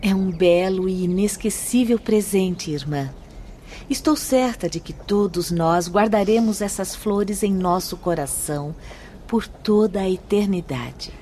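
A woman's voice: medium pitch, measured pace, warm tone, a little hiss or hum, natural voice. A woman playing a serene, courteous spirit guide in a Brazilian radio drama, formal and solemn, with a Brazilian accent. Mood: reverent. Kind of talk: Brazilian radio soap acting.

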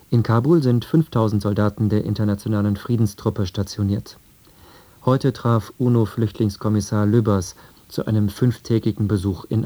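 A man reading a scripted radio news and weather bulletin in a sober, measured manner. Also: fairly low pitch, mellow. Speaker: radio newsreader.

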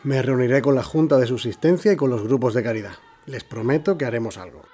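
A man from an audio drama playing a measured, evasive Spanish governor, speaking formally as if making a speech, with a Spanish accent from Spain. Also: mid-range voice, warm tone, lightly acted. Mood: placating.

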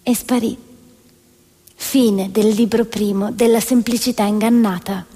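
A woman narrates from the stage in a high voice with long hall reverb. Her scripted delivery is solemn and emphatic, built on short, measured phrases. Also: steady tone, mellow, slight background noise. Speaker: solo stage actress narrating.

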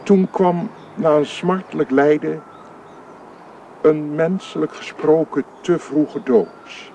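Slow, solemn funeral minister. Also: male voice, a little high, dark tone. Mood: solemn preaching. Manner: measured, oratorical, grave. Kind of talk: radio play acting. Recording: radio play recording with faint noise.

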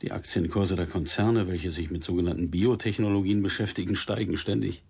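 Sober editor boss, man, husky, gravelly, matter-of-fact, radio play. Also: low voice, fairly even intonation, brisk, darkish tone, full character voice. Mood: sober, cautioning. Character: sober, shrewd male newspaper boss. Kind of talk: German radio drama acting.

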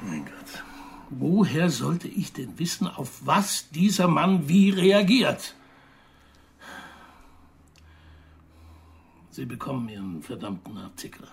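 A very raspy, creaky-voiced journalist in a radio crime drama. He speaks a little high for a man, guarded and touchy, as if always on the defensive. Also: sing-song, measured pace, heavily acted. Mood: defensive, irritated. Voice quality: gravelly, husky. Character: defensive male journalist under questioning. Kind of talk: naturalistic German radio crime drama acting.

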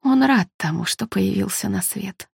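A woman narrator voicing a quiet, fragile, melancholy woman from a novel, speaking hushed, hesitant and slow. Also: medium pitch, gravelly, slightly rough, no echo, clean studio sound, exaggerated voice. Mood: hesitant, sad.

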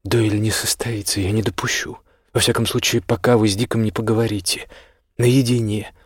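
A man's voice: fairly low pitch, level tone, moderate pace, slightly rough, no echo, studio quality. A man voicing a firm, frank marquis in an audiobook, speaking sternly and insistently as a concerned friend.

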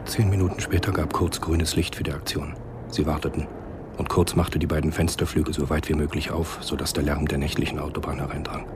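A man narrating a literary radio play in a measured, sombre manner. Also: extremely low pitch, level tone, noisy background.

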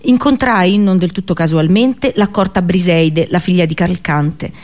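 A woman actor delivering a theatrical radio drama monologue, dramatic and halting, with deliberate pauses and repetitions. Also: lowish voice, darkish tone. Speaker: actress performing a monologue.

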